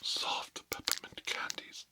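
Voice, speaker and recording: A man playing a stern, pompous school principal in a whispered ASMR role play, scolding pupils in halting, drawn-out phrases. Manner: pompous, halting, drawn-out, repeats words for emphasis.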